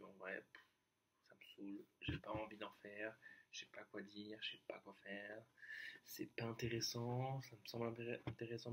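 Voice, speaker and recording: A young man talking to his viewers in a casual, confessional vlog, hesitant and halting, often restarting and trailing off. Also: mid-range voice, gravelly, background noise. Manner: addresses the viewer directly, informal. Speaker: YouTuber.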